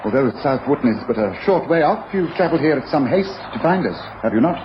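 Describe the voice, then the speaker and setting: A man acting a tall, sharp-eyed English gentleman detective in radio drama. He is probing and precise, with lively intonation in a slightly high voice. The recording is muffled and noisy. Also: quick pace, close to an everyday voice. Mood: keen, probing.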